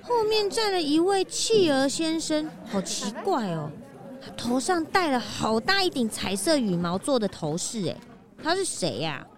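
A woman playing an earnest, expressive female animal character in a children's mystery audio drama, speaking in an exclamatory way. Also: high-pitched, a little slow, a crowd in the background. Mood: curious.

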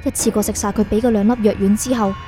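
A woman's voice: mid-range voice, fairly even intonation, fast, natural voice. A woman playing a confused, defiant young fugitive in a radio drama, pleading and insistent, with a Hong Kong Cantonese accent. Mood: anxious, bewildered. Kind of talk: radio drama acting and first-person narration. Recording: music bed behind the voice.

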